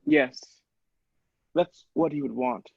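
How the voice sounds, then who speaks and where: A man's voice: medium pitch, takes it slowly, creaky, husky, dry recording, narrow, tinny audio, full character voice. A man playing an earnest, shaken doctor in a radio play, agreeable and hesitant, with dramatic stammers. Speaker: podcast actor.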